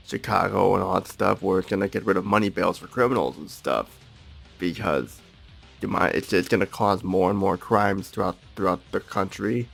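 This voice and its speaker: Gravelly low guy rambling about politics on a call, repeats himself. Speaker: podcast guest. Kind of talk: casual political discussion. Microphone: call recorder.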